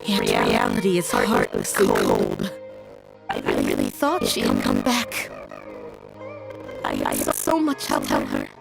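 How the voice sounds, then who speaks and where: A woman's voice: very deep voice, wildly swooping pitch, unhurried, gravelly, pauses often, heavily acted, rough recording. A woman playing a fragile, grieving young woman in a video game, hesitant and apologetic. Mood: grieving, shaken. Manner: hesitant, faltering, emotional. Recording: game dialogue over background music.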